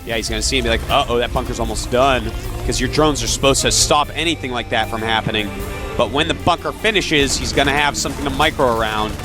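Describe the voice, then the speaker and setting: A man's voice: mid-range voice, fast talker, over music. A middle-aged man commentating a strategy game match, breaking down plays analytically with dry banter. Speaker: esports commentator. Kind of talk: casual play-by-play commentary.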